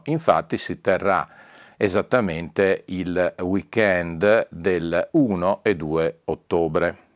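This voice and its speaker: A man with a low-pitched voice hosts an announcement to his followers. He talks in a measured, discursive manner full of small asides. The sound is dry, with very little room. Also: no echo. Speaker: host and presenter.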